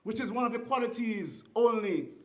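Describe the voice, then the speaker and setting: A speaker with a Kittitian accent giving a formal ceremonial speech in a deliberate, oratorical way, with emphatic short phrases. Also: mid-range voice, expressive. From Saint Kitts and Nevis. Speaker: guest speaker at a school ceremony. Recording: echoey venue sound.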